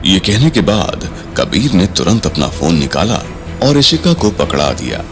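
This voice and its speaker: Male narrator, audio drama. Very deep, animated, suspenseful delivery over soft music. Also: unhurried. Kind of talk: dramatic scripted story narration. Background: background music.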